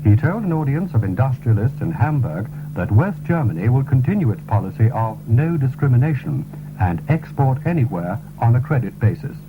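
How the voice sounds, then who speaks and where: A man reading a formal, scripted radio news bulletin in a measured way, on a 1970s broadcast. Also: mid-range voice, sing-song, music in the background. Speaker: radio newsreader. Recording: tinny, narrow-band 1970s radio broadcast recording.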